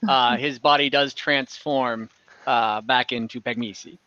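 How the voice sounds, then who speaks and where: A man's voice: medium pitch, steady tone, measured pace, sharp tone, muffled recording. A man acting as game master in a casual online tabletop game, narrating and refereeing in an easygoing, accommodating way.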